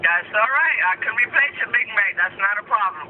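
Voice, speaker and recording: A woman's voice: medium pitch, animated, a little fast, background noise. Blunt woman manager on a tinny phone line. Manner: blunt, argumentative. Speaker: fast food restaurant manager. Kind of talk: customer service phone call. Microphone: telephone.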